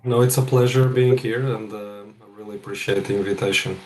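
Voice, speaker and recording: A middle-aged man with a Portuguese accent speaks as a guest in an interview. His voice is low and flat in intonation, and his delivery is halting, with repeated words as he introduces himself. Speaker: guest speaker, project controls professional.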